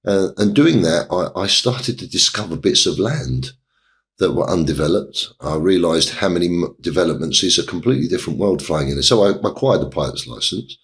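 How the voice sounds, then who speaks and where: Interview guest, man, middle-aged, low voice, hesitant storyteller. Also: lively intonation, a bit bright. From England. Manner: halting, many fillers and restarts. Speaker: interview guest, property developer.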